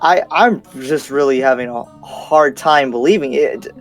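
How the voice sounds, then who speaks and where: A man playing a skeptical, cautious demon slayer in an improvised roleplay, speaking in a halting, incredulous way. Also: medium pitch, faint music behind, lightly acted. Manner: halting, incredulous, repeats himself. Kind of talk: improvised roleplay acting in casual speech.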